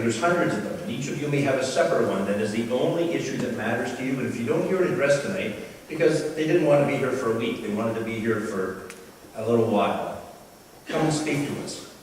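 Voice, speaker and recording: A man's voice: mid-range voice, fairly quick, distant mic, a little hiss or hum. A man running for city council, giving a firm, earnest campaign speech at a public candidates meeting. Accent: Canadian. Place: large hall at a candidates meeting. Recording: echoey hall, mic from afar.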